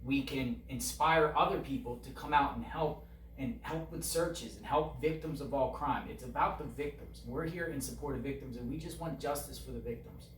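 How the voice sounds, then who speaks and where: A man's voice: mid-range voice, moderate pace. Guy earnestly answering interview questions, repeats stuff, roomy and kinda far from mic. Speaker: online video creator, interviewee. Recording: echoey, distant mic, faint noise.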